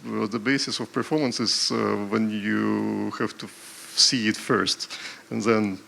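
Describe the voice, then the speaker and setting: A man speaking on a panel about an art program, halting and hesitant, full of fillers and restarts. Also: fairly low pitch, steady tone, vocal fry, sharp tone, slight background noise. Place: panel discussion at an art event venue.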